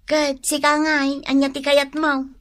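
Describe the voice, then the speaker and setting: A woman's voice: high-pitched, flat delivery, measured pace, dry recording, clean studio sound. A woman playing a good-humoured younger sister who works abroad and calls home, with an Ilocano accent, in a comedic radio drama. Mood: amused.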